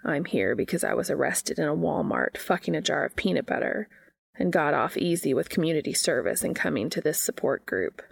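A woman voicing a forward, unashamed man who is calm, matter-of-fact and teasingly flirtatious, in a read-aloud story. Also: low voice, a little fast, gravelly, slightly rough, no echo, clean studio sound, heavily acted. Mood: frank, unashamed. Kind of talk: fan fiction character dialogue.

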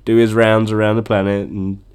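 A man's voice: on the low side, flat delivery, a little fast, a little mellow, clear, resonant tone, close to an everyday voice. A man doing a fretful little germ. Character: crowd of anxious little germs, male.

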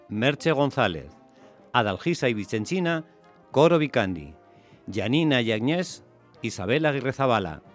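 A man's voice: medium pitch, fairly bright tone. A man reading scripted closing credits as a radio theatre announcer.

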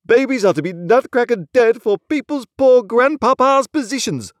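Man acting a desperate husband, voice soaring high. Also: animated, moderate pace, no echo, clean studio sound. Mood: desolate desperation. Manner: protesting, theatrical despair. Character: exasperated, desperate, helpless husband and father. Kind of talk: dramatised classic novel reading.

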